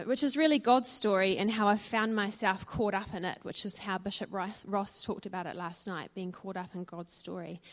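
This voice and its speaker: A woman with a New Zealand accent, probably in her thirties, giving an earnest and reflective personal testimony to a church audience, with frequent ums and uhs. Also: mid-range voice. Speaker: church speaker sharing her story.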